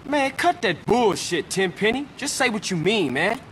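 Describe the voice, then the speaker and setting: An impatient young American gang member in a video game. His voice sits fairly high and jumps around wildly in pitch, curt and defiant in its slang. A little traffic noise sits behind it. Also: very animated, normal speed, a bit bright. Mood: exasperated. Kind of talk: video game cutscene acting.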